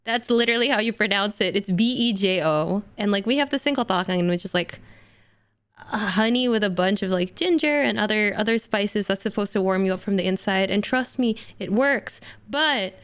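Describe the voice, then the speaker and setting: A woman's voice: mid-range voice, brisk, clean tone. A woman streamer with a light Indonesian accent, chatting casually and jokily with her viewers while feeling unwell with an upset stomach. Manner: chatty, giggly, jokey.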